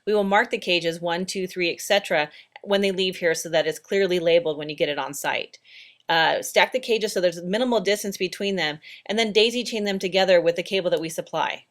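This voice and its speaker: A woman's voice: on the low side, fairly quick. A woman narrating instructional training slides in a plain, practical, step-by-step way. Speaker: training presenter.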